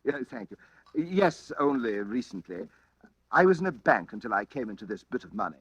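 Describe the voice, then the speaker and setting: An actor playing a nervous, suspicious retired bank clerk, polite and hesitant, in an old-time radio thriller. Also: male voice, medium pitch, very animated, fairly quick, husky, dry recording. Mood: courteous.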